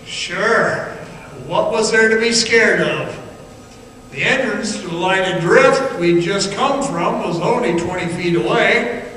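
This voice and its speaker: An actor playing a haunted man grimly recalling an ordeal, in halting, suspenseful radio-drama narration. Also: high-pitched, bright, forceful tone, full character voice. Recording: echoey distant radio play recording, faint hiss.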